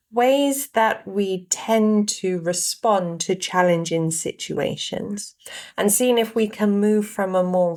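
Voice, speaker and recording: A woman guiding a meditation, giving gentle instructions in short phrases with pauses between them. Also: medium pitch. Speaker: meditation guide. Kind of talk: guided meditation narration.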